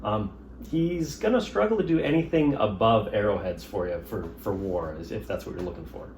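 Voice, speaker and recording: A man who works as a blacksmith, speaking in a casual interview in a relaxed, thoughtful way with frequent ums. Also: lowish voice, fairly quick. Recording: echoey room with faint noise.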